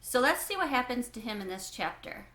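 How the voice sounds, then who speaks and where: A woman narrating a children's book in an expressive, animated way, with clear phrasing. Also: fairly high pitch, fairly quick, reverberant. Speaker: read-aloud host.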